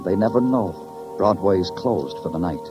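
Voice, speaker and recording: Hardboiled detective, low voice, sardonic, terse poetic narration, vintage radio drama, music behind. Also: male voice, expressive, unhurried. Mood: brooding. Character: world-weary, sardonic male police detective narrating his case. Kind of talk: 1950s radio crime drama acting. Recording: dull old radio recording, music behind.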